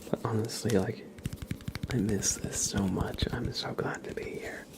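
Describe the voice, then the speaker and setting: A man whispering softly and breathily as an ASMR livestream host, chatting informally and directly to his viewers. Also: on the low side, steady tone, a little slow. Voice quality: soft, breathy whisper with some creak.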